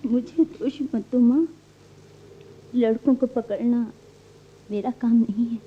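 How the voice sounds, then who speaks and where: A woman's voice: fairly high pitch, steady tone, takes it slowly, breathy edge. A woman playing a pained, restrained young woman in love in a radio play. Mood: subdued. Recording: muffled old radio recording.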